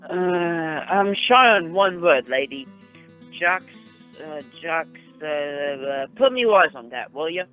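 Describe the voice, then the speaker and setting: A man acts out a scrappy errand boy in a radio play. The voice sits fairly high and the talk is rough, ungrammatical slang, eager for a coin. It comes through thin as a phone call, with faint guitar music. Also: expressive, slow. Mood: polite, puzzled.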